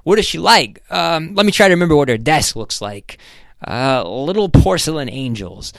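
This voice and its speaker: A man's voice: a little high, animated, moderate pace, gravelly, a bit bright, no echo, voice pushed up. A man voicing a brash, expansive middle-aged corporate executive in a story, emphatic and blustering. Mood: flustered.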